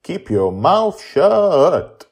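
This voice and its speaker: A man voicing a brisk, bossy, protective mother in a story, with commanding, emphatic delivery. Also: on the high side, big pitch swings, creaky, pitched above the performer's own voice, exaggerated voice. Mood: bossy, urgent.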